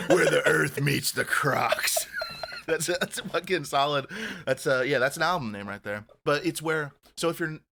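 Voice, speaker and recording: Animated, bright-voiced man rambling. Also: fairly high pitch, unhurried, vocal fry, a little hiss or hum. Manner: rambling, profane, self-correcting. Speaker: podcast co-host. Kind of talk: casual chat.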